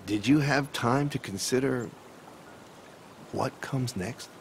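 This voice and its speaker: A man's voice: mid-range voice, slow, a little hiss or hum. An actor playing a kindly, melancholy older newspaperman in a video game, wistful and slightly literary in his phrasing. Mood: mournful, reflective. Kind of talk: video game cinematic dialogue.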